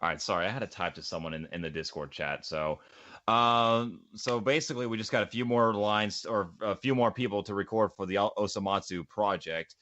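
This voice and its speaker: Man hosting, bright but muffled call. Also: brisk, dry recording. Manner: rambling, repeats phrases. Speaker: podcast host. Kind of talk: casual chat. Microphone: voice call mic, close. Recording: muffled low-fidelity voice chat call.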